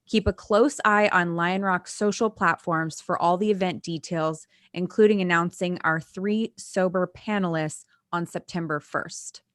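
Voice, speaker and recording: A woman hosts an online panel discussion over a video call. Her voice sits fairly low and sounds dry and close. She reads her welcome in an upbeat, gushing way. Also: a bit bright, dry recording. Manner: upbeat and scripted, with gushing greetings. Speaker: moderator.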